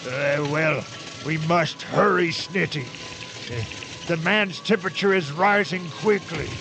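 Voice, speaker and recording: An actor playing a nervous, greedy accomplice to a villain in a radio drama, hesitant and formal. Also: male voice, a little high, unhurried, vocal fry, over music. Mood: anxious.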